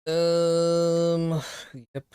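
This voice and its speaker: A young male streamer with a somewhat high voice, chatting loosely and reacting to his chat. He teases viewers in a joking, offhand way while he plays. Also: monotone, very slow, warm tone, clear, resonant tone. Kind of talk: casual live-stream chat.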